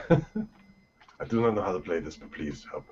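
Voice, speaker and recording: A man's voice: sits low, big pitch swings, unhurried, a little mellow, slight background noise. A man hosting a casual gaming news podcast, reading headlines and joking around with loose, swearing banter. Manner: reads headlines then jokes, swears freely. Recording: dull lo-fi podcast audio.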